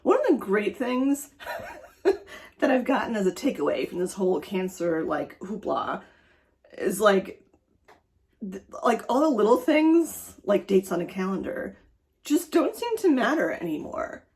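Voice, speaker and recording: A woman's voice: medium pitch, very animated, measured pace, a little mellow. A middle-aged woman raised speaking German, talking in a rambling, chatty way for her personal vlog, with many fillers. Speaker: vlogger.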